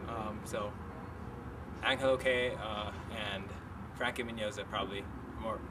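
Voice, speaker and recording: A young man from the Philippines, a student golfer, answering interview questions in a soft, hesitant way with many pauses. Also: lowish voice, steady tone. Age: young adult. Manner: soft-spoken, hesitant with frequent ums. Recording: distant mic, street noise.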